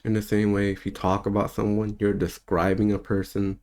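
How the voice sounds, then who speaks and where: Man, 30s, very low voice, casual spiritual-teacher monologue, meandering and relaxed. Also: fairly quick. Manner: loose and rambling, with easy laughs.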